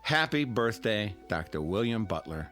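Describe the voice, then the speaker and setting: A middle-aged American man makes a toast in a radio drama. His voice sits a little low, with faint music around it. He is folksy and sentimental, teasing as he halts through his speech. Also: big pitch swings, normal speed, fairly bright tone. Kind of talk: radio drama acting, after-dinner speech.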